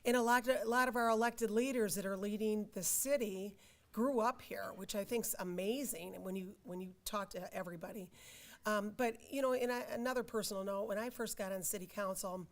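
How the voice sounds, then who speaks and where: An older woman with a hoarse voice, a county commissioner giving a halting, chatty speech at a dedication ceremony. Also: medium pitch. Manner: chatty, halting with fillers and restarts.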